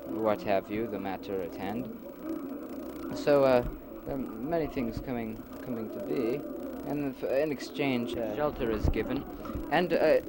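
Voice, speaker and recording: A man playing an earnest leader in an amateur philosophical audio drama, explaining his society formally and haltingly on a homemade nineties recording. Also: mid-range voice, very noisy, close to an everyday voice. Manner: halting, explanatory, formal wording with many hesitations.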